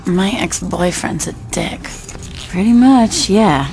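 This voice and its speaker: An actress playing a tough, flippant gang member in a video game, casual and sardonic. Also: female voice, mid-range voice, animated, takes it slowly, creaky, a little mellow, faint music behind. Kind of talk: video game cutscene acting.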